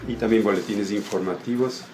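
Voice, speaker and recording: An Ecuadorian man giving an informal, somewhat halting explanation of his work as an illustrator, often repeating words. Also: lowish voice, fairly even intonation, a little mellow. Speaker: comic illustrator. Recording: roomy echo with music behind. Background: background music.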